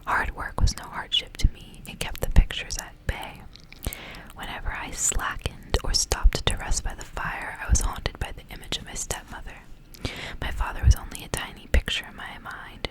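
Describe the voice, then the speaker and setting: A woman reads a story in a hushed whisper, entirely breathy and unvoiced. Her delivery is soft and intimate, in an ASMR style. The phrasing is measured, like a storyteller reading from a book. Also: rough recording.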